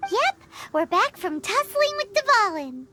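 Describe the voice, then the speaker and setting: A woman voicing a tiny, excitable floating fairy companion who talks in a squeaky, childlike way in a video game. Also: measured pace, noisy background, full character voice. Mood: chirpy. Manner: squeaky, childlike, refers to herself by name. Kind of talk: video game dub acting.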